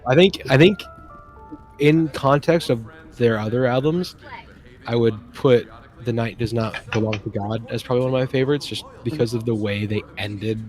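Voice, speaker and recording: A man's voice: mid-range voice, moderate pace, a little mellow, faint music behind. A man chatting casually on a stream, rambling enthusiastically and often trailing off and restarting.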